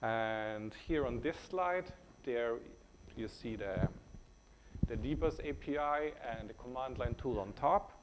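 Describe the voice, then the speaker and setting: A man from Austria with a German accent, presenting a technical talk at a conference in a halting way, with many hesitations and restarts. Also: medium pitch, animated, noisy background.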